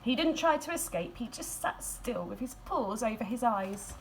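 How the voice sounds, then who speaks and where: A woman reads a children's storybook aloud expressively, in a measured storytelling manner with dramatic emphasis and hushed phrases. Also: a little high, animated, faint music behind, rough recording. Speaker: school staff member reading a picture book aloud.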